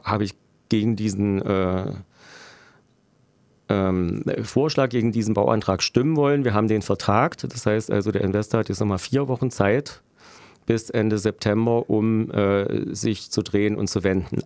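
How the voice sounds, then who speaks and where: A middle-aged man from Germany answering interview questions as a local politician, explaining deliberately and haltingly with dry irony. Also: lowish voice, fairly even intonation, darkish tone, dry recording. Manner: deliberate, halting, dryly ironic. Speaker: local politician interviewed, road planner by profession.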